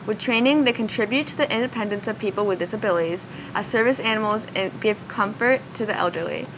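A woman's voice: mid-range voice, fast talker, background noise. A teenage girl anchoring a school news show, reading earnest scripted segments. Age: teens. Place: school TV studio, indoors.

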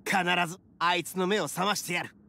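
A man playing a brash, kind-hearted young oni gang boss in an anime game, with rough, swaggering speech. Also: high-pitched, a little fast, bright, forceful tone, heavily acted. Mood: proud. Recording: game music behind the voice.

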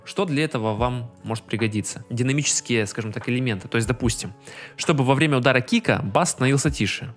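A young man explaining music production in an informal, slangy tutorial style, talking into a desk microphone in a home room. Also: mid-range voice. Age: young adult. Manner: informal, slangy, peppered with tag words. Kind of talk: casual screen-recorded tutorial, explaining. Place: small home room, indoors. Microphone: desk microphone close to the mouth. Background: loud music from the track being mixed.

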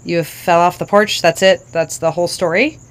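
A woman's voice: lowish voice, fairly even intonation, moderate pace, lightly acted. A woman voicing her father, a curious mechanic coaxing details out of his young daughter with probing questions.

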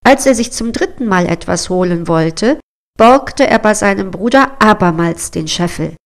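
A woman storyteller narrating a scripted fairy tale in a measured, expressive storybook manner. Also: mid-range voice.

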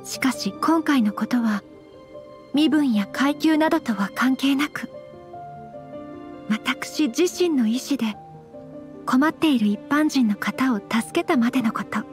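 A woman playing a gentle, modest young noblewoman in an anime game, very polite, formal and composed. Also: sits high, lively intonation, a little slow, long pauses, soft background music. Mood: modest, sincere.

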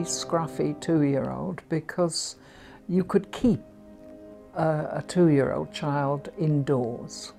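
An older woman recalls her past slowly and deliberately in a documentary interview. Her voice is gravelly and mellow, with reflective pauses. Soft music plays underneath. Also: low-pitched. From Germany. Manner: measured, reflective storytelling with hesitations. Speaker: Holocaust survivor interviewee. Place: book-lined study indoors.

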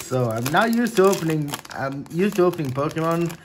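A young man whose first language is Portuguese, chatting casually at home in his gaming room, with lots of filler words and sudden excited bursts. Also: on the high side, fairly quick. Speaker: card opening vlogger. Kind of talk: casual unboxing chat. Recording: faint crinkling and desk noise.

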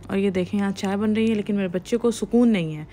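A woman's voice: mid-range voice, fast talker, warm tone, slight background noise. A woman in her thirties narrating her family's camping trip as a vlog voice-over, chatty and mixing in English phrases. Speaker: vlogger. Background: faint music under the narration.

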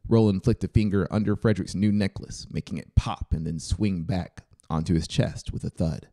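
A man's voice: deep voice, moderate pace, mellow. A man in his thirties giving a scripted story narration close to a studio microphone. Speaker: audiobook narrator. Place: small brick-walled room indoors. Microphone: close studio microphone on boom arm.

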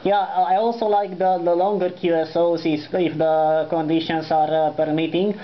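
A man's voice: on the high side, level tone, moderate pace. A man with a Romanian accent making an amateur radio contact, courteous and formulaic, spelling phonetically. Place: indoors, at a desk beside the transceiver. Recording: dull lo-fi sound with faint noise.

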